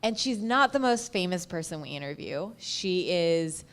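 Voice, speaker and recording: A woman with a fairly low voice talks casually, as a podcast host on a panel would. She tells stories in loose, conversational phrases, often dropping in "like" as she goes. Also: expressive, moderate pace. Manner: informal, frequent "like" as filler.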